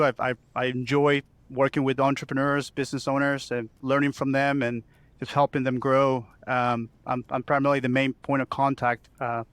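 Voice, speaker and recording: Man, banker guest, even flat delivery, smooth clear voice, dry close sound, lots of ums. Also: mid-range voice, dry recording. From Chile. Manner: steady, many ums and uhs. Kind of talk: casual podcast interview.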